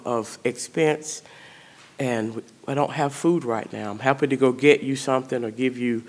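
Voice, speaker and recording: A middle-aged woman priest, preaching a sermon in a church sanctuary, speaking conversationally and putting questions to the congregation. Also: extremely low pitch. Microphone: stand mic in front of pulpit.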